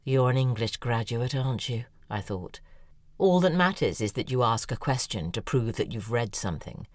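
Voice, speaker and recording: A woman's voice: very deep voice, expressive, normal speed, no room sound, studio quality. An actress narrating in first person as an acerbic, weary female novelist in an audio drama. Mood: wry. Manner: sardonic, weary. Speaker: narrator and lead character, a novelist.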